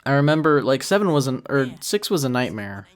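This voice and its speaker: A man's voice: medium pitch, very animated, a little fast. A man giving casual creator commentary, rambling hesitantly and often correcting himself. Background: episode audio and music.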